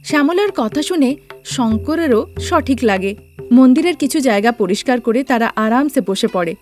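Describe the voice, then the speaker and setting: A woman's voice: fairly high pitch, lively intonation, moderate pace, darkish tone. A woman narrating a scripted cartoon story as a storyteller. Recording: music bed under the voice.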